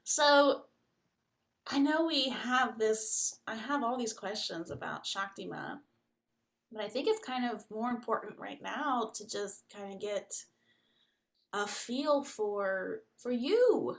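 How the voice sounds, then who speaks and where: A woman's voice: a little high, expressive, takes it slowly. A woman hosting a casual podcast interview, enthusiastic and gushing, filling her speech with "like" and laughter. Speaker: podcast host.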